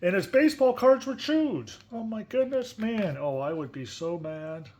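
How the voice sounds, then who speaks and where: A man's voice: high-pitched, expressive, moderate pace, darkish tone. A man reading a picture book aloud expressively, with casual, chatty asides and questions to a young listener. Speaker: story reader.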